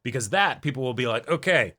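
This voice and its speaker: Male co-host for casual episode talk. Bright, forceful voice, middle pitch, emphatic and informal. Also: animated, fast. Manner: emphatic, informal, swears freely. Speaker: co-host of a reaction show.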